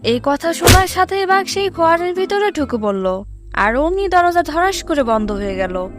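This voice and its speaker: A woman's voice: extremely high pitch, big pitch swings, moderate pace. A woman narrating a scripted fable for children as a storyteller. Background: music.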